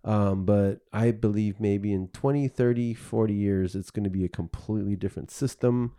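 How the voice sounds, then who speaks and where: A man's voice: fairly low pitch, steady tone, normal speed, warm tone, smooth. A man hosting a podcast, chatting casually and thinking aloud with many restarts. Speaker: podcast host.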